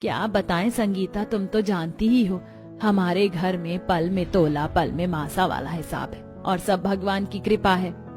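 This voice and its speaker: A woman's voice: fairly low pitch, steady tone, unhurried, lightly acted. A woman playing a humble, motherly mother-in-law from a poor family in a children's cartoon, with homely talk. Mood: welcoming, resigned. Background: music.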